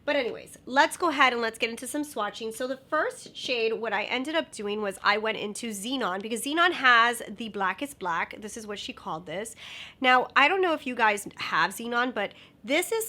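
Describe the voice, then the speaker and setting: A young woman reviewing makeup in a chatty, bubbly way, her voice nasal and stuffed-up from a sinus infection. Her tone is bright and forceful, pitched a little above most women. She strings her thoughts together with plenty of casual fillers. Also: lively intonation, normal speed. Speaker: beauty vlogger.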